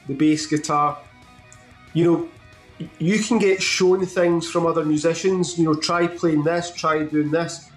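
A man with a high voice hosts a music interview from home. He takes his time building up each question. His voice comes through a roomy, echoey video call. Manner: rambling, builds up questions at length. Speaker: interview host.

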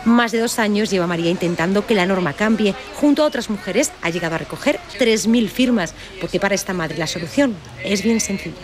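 A Spanish woman in her thirties reporting from a city bus with a handheld mic, asking lively questions. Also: medium pitch, a little fast, hardly any pauses, crowd noise. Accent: Spanish from Spain. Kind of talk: on-the-street news report with voice-over. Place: city bus and bus stop, outdoors.

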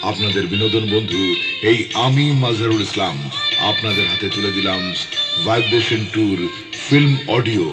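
A man with a Bangladeshi accent reading a dramatic, theatrical film promo as an announcer, heard from an old cassette through boombox speakers. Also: moderate pace. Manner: theatrical, declamatory. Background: loud film music.

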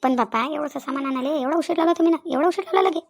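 Woman acting quarrelsome village mother, high voice, rapid complaints, repeated phrases, rural Vidarbha accent, comedy cartoon. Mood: scolding, aggrieved. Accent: rural Maharashtrian, Vidarbha. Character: nagging, quarrelsome village wife and mother, aggrieved. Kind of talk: Marathi comedy cartoon dialogue.

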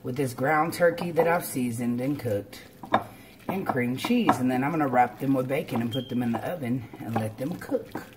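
A woman explains each step informally, as in a casual cooking vlog. She is recorded at home in a kitchen, with clear background noise of frying and clattering dishes. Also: very deep voice, moderate pace. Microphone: camera on counter or at arm's length.

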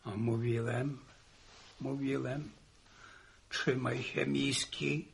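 An old country grandfather in a vintage Polish radio drama. The voice is heavily creaky and slow, muttering and halting as he grumbles and repeats himself. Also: medium pitch, steady tone, full character voice. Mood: indignant. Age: older. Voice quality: gravelly old voice. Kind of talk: 1960s Polish radio serial acting.

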